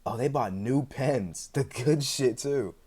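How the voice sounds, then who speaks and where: A man's voice: medium pitch, slow, darkish tone, breathy edge. A man playing a chatty, sheepish immortal in a roleplay audio drama, talking in a hesitant, conversational way with dry humor. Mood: casual, pleased. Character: chatty, sheepish, evasive immortal man, freshly back from death.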